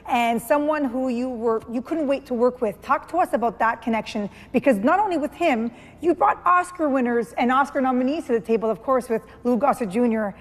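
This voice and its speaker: A woman hosts a TV interview in a studio, asking her questions in a chatty, halting way full of fillers. Her voice sits fairly high. A long reverb trails after her words. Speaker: TV host.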